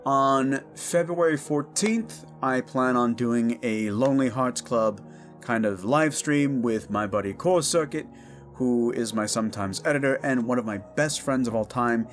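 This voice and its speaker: A man in his thirties with a mid-pitched voice talks casually over guitar music. He hosts an audio channel and addresses his listeners in a loose, rambling, informal style. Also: unhurried. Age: 30s. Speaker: audio drama creator and host.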